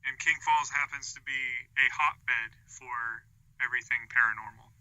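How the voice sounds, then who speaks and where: A man gives casual answers as an interview guest. His voice is strikingly bright and tense, with a middling pitch. The sound is dry and phone-like, as on an internet call. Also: fairly even intonation, a little slow, no echo. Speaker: interview guest, podcast creator.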